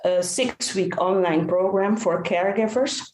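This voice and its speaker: A woman's voice: mid-range voice, a little slow, echoey room, slight background noise, low-quality recording. A Dutch woman, a native Dutch speaker, telling her story earnestly as a podcast guest. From Netherlands. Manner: earnest, animated retelling with repeated phrases. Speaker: guest, caregiver coach and author.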